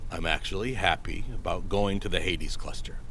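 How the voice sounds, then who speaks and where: A man's voice: low voice, normal speed, fairly bright tone, slight background noise. A man playing a frank, concerned and dutiful starship captain in a science fiction drama, blunt in his talk. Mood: uneasy. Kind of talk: acted dialogue.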